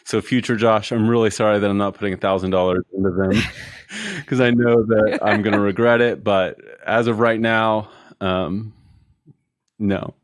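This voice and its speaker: A man with a deep voice, a guest on a podcast, talking in an easygoing, jokey and self-deprecating way. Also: normal speed, dry recording, studio quality. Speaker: podcast guest, retail consultant. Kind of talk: casual interview chat. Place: Houston.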